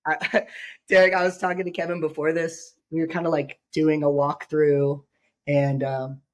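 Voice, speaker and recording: Raspy, hoarse man hosting a podcast. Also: on the high side, little pitch movement, moderate pace. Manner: rambling, joking, lots of fillers. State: sick, hoarse. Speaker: podcast host. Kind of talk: casual podcast chat.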